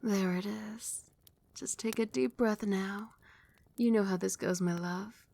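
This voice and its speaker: ASMR casting: a young woman as a tender, possessive vampire queen, soft soothing whisper, close mic. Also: medium pitch, steady tone, slow pace, vocal fry. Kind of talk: ASMR roleplay.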